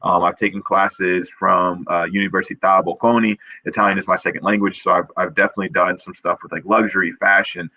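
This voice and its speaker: A man's voice: sits low, little pitch movement, a little fast, hardly any pauses, bright, forceful tone, no room sound, muffled recording. A young man, a perfumer guest on a video call, talking earnestly and eagerly, full of restarts and fillers. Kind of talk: casual interview chat.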